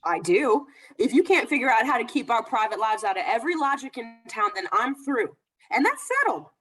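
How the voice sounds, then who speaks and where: Indignant nagging wife acted by a woman, high and animated, emphatic, old-time radio comedy. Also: a little fast, faint music behind. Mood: indignant, threatening. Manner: scolding, emphatic. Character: nagging, indignant wife at the end of her patience.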